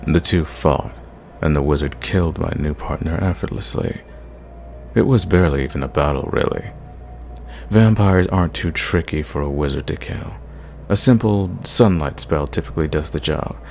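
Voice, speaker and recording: A man voicing a centuries-old sadistic vampire in an ASMR roleplay, possessive and condescending, savoring his words and sometimes dropping to a breathy whisper. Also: a little mellow, a little hiss or hum. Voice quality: deep, gravelly.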